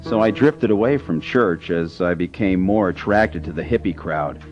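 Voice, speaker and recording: A man in a radio drama narrating his own story in a calm, measured way. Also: low-pitched, close to an everyday voice. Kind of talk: scripted first-person narration. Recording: lo-fi radio with music under.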